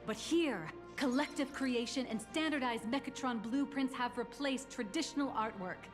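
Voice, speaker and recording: A proud company guide woman played by an actress walks visitors through her firm's achievements in a video game. She sounds polite and businesslike, in a slightly raised register. Soft music runs underneath. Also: high voice, normal speed, close to an everyday voice. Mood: brisk pitch. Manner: polite, promotional, explanatory.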